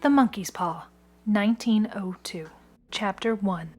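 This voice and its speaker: A woman's voice: medium pitch, measured pace, a little mellow, faint music behind. A woman podcast host who narrates a short story.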